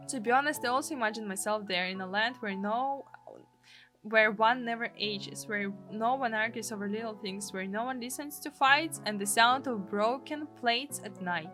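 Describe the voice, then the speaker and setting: A woman's voice: a little high, pushed and bright. A woman from Russia, reading a visual novel's story aloud expressively as a video host.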